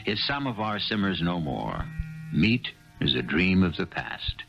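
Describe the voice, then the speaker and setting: A man performing as a grave, ominous storyteller host, narrating a radio drama dramatically in a gravelly voice. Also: low voice, sing-song, unhurried, faint music behind, full character voice. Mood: grave, foreboding. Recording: dull, lo-fi 1970s radio recording.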